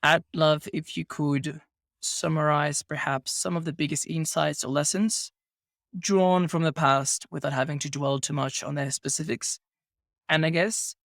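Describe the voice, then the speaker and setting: A man from Venezuela hosts a podcast interview, speaking in a slightly higher register with a gravelly, fry-heavy texture. His talk is thoughtful and measured, with careful phrasing. The close mic sounds very dry. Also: steady tone, fairly bright tone, no echo. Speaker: podcast host. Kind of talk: casual interview conversation.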